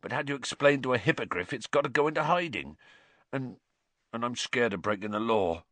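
A man voicing a huge, tearful male groundskeeper who talks in a rough dialect. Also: animated, a little fast, fairly bright tone, no room sound. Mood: haunted. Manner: rough dialect speech, low and mournful.

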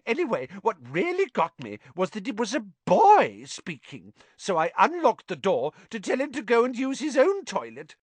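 A whiny, melodramatic teenage ghost girl, her voice pitched very high and swooping wildly as she wails and savours every gloomy detail, as a man reading a storybook puts her on. The voice is very close and dry. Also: huge pitch swings, normal speed, hardly any pauses, no echo, exaggerated voice. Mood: relishing. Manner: high, wailing, relishing gloom.

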